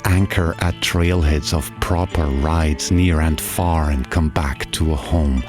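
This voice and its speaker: Deep, slow man musing. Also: fairly even intonation. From Austria. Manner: slow, measured, musing questions. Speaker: travel vlogger narrator. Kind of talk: reflective scripted voice-over narration. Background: guitar music.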